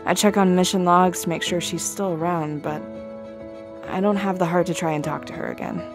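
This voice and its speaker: A woman's voice: fairly low pitch, steady tone, a little fast, close to an everyday voice. Woman acting playful huntress over music. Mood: wistful. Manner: teasing, playful. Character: playful young female huntress and bodyguard, teasing, wistful. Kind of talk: animated fan series voice acting. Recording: background music under the voice.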